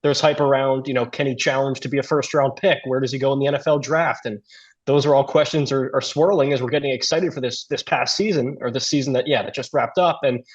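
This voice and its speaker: A man's voice: medium pitch, fairly even intonation, quick pace. A man working as a sports talk radio commentator, giving conversational analysis on a slightly dull recording.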